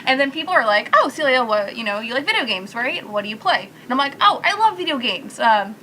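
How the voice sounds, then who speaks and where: Female podcast guest, bright forceful tone, joking storyteller, casual, light noise behind her. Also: on the high side, big pitch swings, fairly quick, poor audio. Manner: playful, joking. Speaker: podcast guest, video game company marketing staffer. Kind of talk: casual group chat, telling anecdotes.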